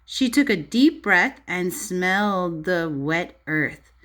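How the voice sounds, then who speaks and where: A woman teacher reading a storybook aloud to young children in an expressive, child-directed way, pausing to explain and ask questions. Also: fairly low pitch. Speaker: preschool or early primary teacher.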